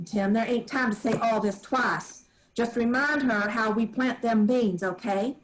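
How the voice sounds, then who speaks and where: A woman acts as an old farm grandmother in a staged play reading. Her voice sits fairly high, and she talks in plain country dialect, handing out firm, kindly orders with love underneath. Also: slight background noise, lightly acted, poor audio. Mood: folksy, instructing. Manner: plain rural dialect, brisk kindly commands.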